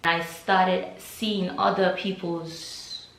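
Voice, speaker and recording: A young Nigerian-accented woman speaks in a fairly low voice, taking her time over a personal story. Her telling is reflective and hesitant. The room around her rings with a noticeable echo. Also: flat delivery, drawn-out words, a bit bright, a little hiss or hum. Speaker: vlogger.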